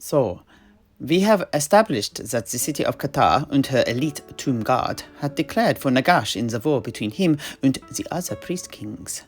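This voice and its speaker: A performer acts out a burly, simple-hearted man who helps out in a fantasy tavern. His pitch swings widely as he eagerly repeats himself and stumbles over words. Instrumental music plays behind him in this audio drama. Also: expressive, normal speed, natural voice. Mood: wistful agreement. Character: big strong tavern helper, male, eager, excitable, simple-hearted.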